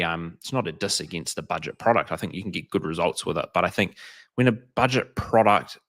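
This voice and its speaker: A man's voice: low voice, fairly bright tone. A man with a New Zealand accent, co-hosting a casual livestream chat about car detailing and reading out viewer comments. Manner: chatty, reads out live comments. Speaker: detailing channel co-host.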